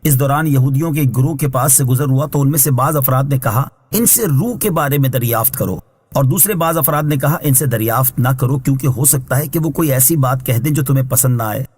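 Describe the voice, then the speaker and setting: A man reading scripted Islamic translation and commentary in a measured, formal, instructive way, as a scholar would. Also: medium pitch, a little mellow, breathy edge. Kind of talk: scripted religious exegesis narration.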